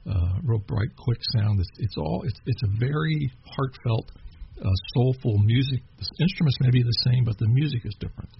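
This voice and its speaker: A man's voice: medium pitch, normal speed. A man from Kentucky interviewing a musician in casual chat, rambling with many fillers and restarts. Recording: dull lo-fi with clear noise.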